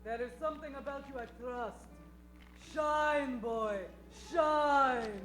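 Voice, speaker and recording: Lady doing posh worried russian noblewoman, eastern european accent, slow n dramatic, faint music. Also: high-pitched, a bit bright. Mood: grand. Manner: dramatic, wistful, grand. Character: tall, elegant, worried Russian aristocratic woman. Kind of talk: improvised old-time radio acting. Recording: roomy, faint music behind.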